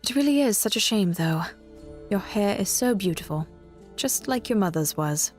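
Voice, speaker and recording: Female bard, played by a woman, fantasy audio drama: medium pitch, measured, gentle, guarded and a little wistful, over music. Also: lively intonation, close to an everyday voice.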